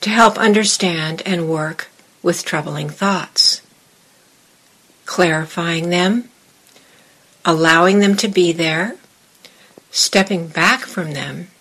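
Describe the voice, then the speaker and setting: A woman meditation teacher leading a guided meditation, giving measured instructions in short phrases with long pauses. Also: lowish voice, fairly bright tone, breathy edge, poor audio.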